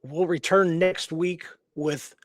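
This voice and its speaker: A man's voice: on the high side, fairly even intonation, slow, no room sound, studio quality. A man co-hosting a history show in casual, conversational discussion, often dropping in "you know".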